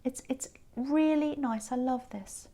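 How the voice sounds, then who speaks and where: A tired woman, giving a gentle, reassuring tarot reading that sometimes drops to a whisper. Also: sits high, a little slow. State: tired, croaky voice. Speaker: tarot reader.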